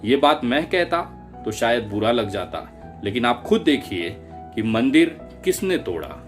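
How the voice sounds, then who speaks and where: A man delivers opinion commentary in a mid-pitched voice with very lively intonation. His manner is emphatic and rhetorical, with indignation in it. Faint music plays underneath. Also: unhurried. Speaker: political commentator. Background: background music.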